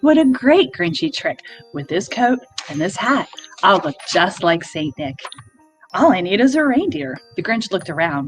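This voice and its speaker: Woman reading a kids rhyming story, really animated voice, music in the back. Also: mid-range voice, low-quality recording. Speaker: library staff member reading aloud. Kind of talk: expressive children's storybook reading. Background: background music.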